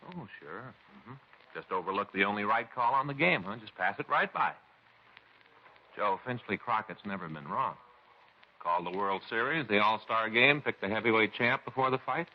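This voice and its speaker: On a dull old radio recording, a talkative American police sidekick. The voice is on the low side, folksy and stubbornly insistent, in the conversational style of 1950s radio drama. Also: male voice, animated, normal speed. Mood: insistent, needling. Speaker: police detective, the partner.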